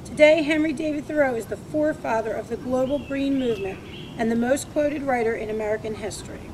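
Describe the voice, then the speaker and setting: A woman's voice: high voice, fast talker, a little mellow, slight background noise, low-quality recording. A young woman narrating a school play, reading the text aloud plainly.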